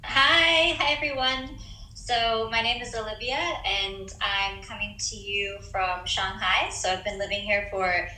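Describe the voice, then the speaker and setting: Enthusiastic woman with a bright voice. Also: medium pitch, a little slow, reverberant, low-quality recording. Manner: enthusiastic and lively, self-mocking. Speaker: social media agency owner, panel guest. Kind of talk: casual panel chat.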